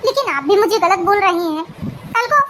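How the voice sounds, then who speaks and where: Woman as grasping daughter-in-law, protesting, very high shrill voice, cartoon drama, noisy audio. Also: animated, moderate pace. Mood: defensive. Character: resentful, grasping young daughter-in-law, argumentative.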